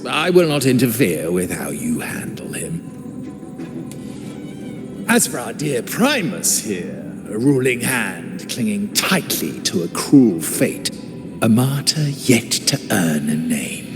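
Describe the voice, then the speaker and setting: A man's voice: fairly low pitch, full character voice. An actor playing a mocking, cryptic male acolyte villain in a video game cutscene, theatrical and taunting. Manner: theatrical, taunting, swooping delivery. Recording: reverberant game audio with loud music.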